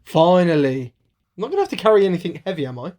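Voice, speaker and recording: A woman's voice: fairly low pitch, sing-song, quick pace, mellow. A woman acting as an impatient, cheeky, slightly dim sidekick in an amateur radio play.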